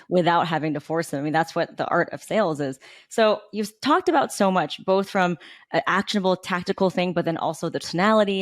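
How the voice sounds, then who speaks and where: A woman's voice: fairly low pitch, no echo, studio quality. A woman hosting a podcast interview, reacting with enthusiasm and asking her guest what comes next. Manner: enthusiastic, rapid questioning. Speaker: podcast host. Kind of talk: interview host.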